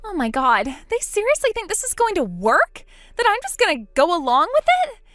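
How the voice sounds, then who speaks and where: A woman's voice: very high voice, wildly swooping pitch, moderate pace, a bit bright, no echo, soft background music, pitched above the performer's own voice, heavily acted. A woman voicing a frightened young woman trapped before a forced wedding, pleading and panicky. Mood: scared.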